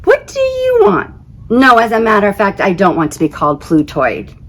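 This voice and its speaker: Excitable little dwarf planet, acted by a woman, storybook character, chatty, indignant, exclamatory, widely swinging pitch. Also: moderate pace. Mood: indignant, shocked. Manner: chatty, exclamatory, self-conscious. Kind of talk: children's picture-book read-aloud.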